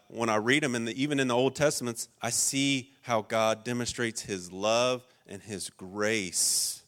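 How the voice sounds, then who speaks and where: Earnest preacher with a low voice. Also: male voice. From rural Ohio. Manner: earnest and emphatic, swinging between raised stresses and quiet personal asides. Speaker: pastor. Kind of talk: sermon.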